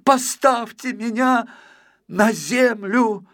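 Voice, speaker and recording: A man's voice: extremely high pitch, extremely slow, a bit bright, dry recording, studio quality, voice pushed up, exaggerated voice. A man voicing a frail, overexcited little fairy-tale boy, pleading breathlessly and emotionally. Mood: agitated, begging.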